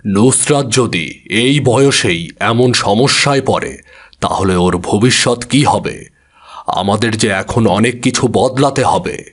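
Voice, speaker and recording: A man's voice: fairly low pitch, takes it slowly. A man playing an earnest, regretful father, speaking solemnly and reflectively in a scripted cartoon story. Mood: resolved.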